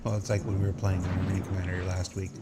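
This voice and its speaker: A man's voice: low voice, level tone, fast, very noisy. A man hosting a casual panel chat, reading out viewer questions and teasing his guests. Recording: loud game ambience behind the voice.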